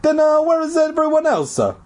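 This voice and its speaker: A man voicing a tiny, menacing old night janitor with a mock Italian accent and halting, drawn-out questions. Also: very high-pitched, wildly swooping pitch, darkish tone, pitched above the performer's own voice, exaggerated voice. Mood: suspicious, scolding.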